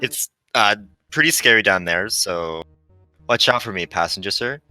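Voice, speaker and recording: A man's voice: fairly low pitch, normal speed, pushed and bright, dry recording, soft background music, low-quality recording. A man voicing a dutiful, timid AI navigator in a visual novel. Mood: nervous.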